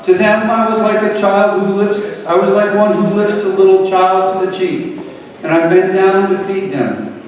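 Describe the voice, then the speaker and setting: A man acts out an aging, tender father whose high-pitched voice carries wounded, pleading emotion. He speaks theatrically, as in a staged parable reading, from far off in a very reverberant hall. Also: normal speed, close to an everyday voice. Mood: mournful. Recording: echoey hall, distant mic.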